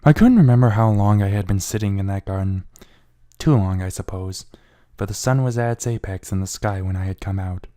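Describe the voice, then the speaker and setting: A man's voice: lowish voice, fairly quick, warm tone. A man giving a brooding, theatrical first-person reading of a fan fiction story. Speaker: amateur fan fiction reader.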